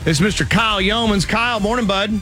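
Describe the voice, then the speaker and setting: A man's voice: high-pitched, bright, forceful tone, soft background music. A man hosting a sports radio show, joking around and interviewing a caller. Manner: jokey, teasing, reads promos quickly. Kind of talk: casual sports radio chat. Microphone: studio microphone.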